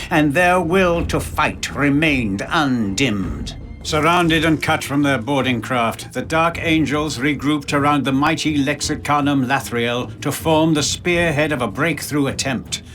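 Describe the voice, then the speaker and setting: A male narrator with a fairly high pitch tells a grim game story. His delivery is scripted, dramatic and grave, over faint music. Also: fairly bright tone.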